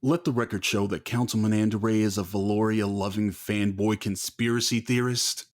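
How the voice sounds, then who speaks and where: Sardonic male councilor man acting, audio drama. Lowish pitch, mock-official delivery, needling and provocative. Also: steady tone, moderate pace, close to an everyday voice. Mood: taunting. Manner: mock-formal, taunting.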